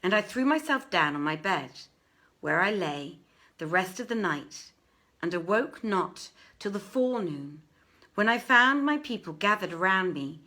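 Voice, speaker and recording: A woman reading an old literary tale aloud as a storyteller, with expressive, measured phrasing. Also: mid-range voice. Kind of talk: dramatic live literary reading.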